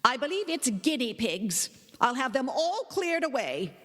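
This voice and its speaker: A woman's voice: high voice, big pitch swings, measured pace, a bit bright, voice pushed up, heavily acted. A woman voicing a domineering middle-class female guardian with a pestering, superior, affronted manner in a told story. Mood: suspicious, bossy. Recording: live performance in a reverberant hall.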